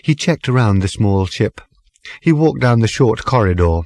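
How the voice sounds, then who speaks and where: A man reading comic fiction as a measured, expressive audiobook narrator. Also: fairly low pitch, no echo. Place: dry studio.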